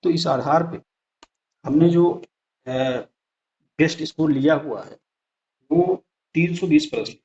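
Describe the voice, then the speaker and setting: A man's voice: on the high side, big pitch swings, slow, creaky, pauses often, a little mellow. A man giving a conversational explanatory lesson over slides, speaking directly to viewers and repeating points for emphasis. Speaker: exam-prep presenter. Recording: dull lo-fi sound.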